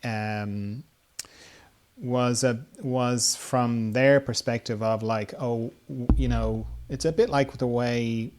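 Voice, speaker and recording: Casual male podcast host, halting and informal. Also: mid-range voice, darkish tone, a little hiss or hum. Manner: informal, tentative, halting questioner. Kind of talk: casual interview chat.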